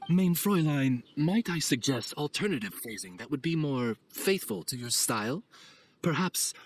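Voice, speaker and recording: A man's voice: mid-range voice, very animated, normal speed, slight background noise, lightly acted. A man voicing a courtly familiar in a video game, refined and deferential, with formal diction. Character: courtly, formal male familiar serving a princess. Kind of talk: game cutscene acting.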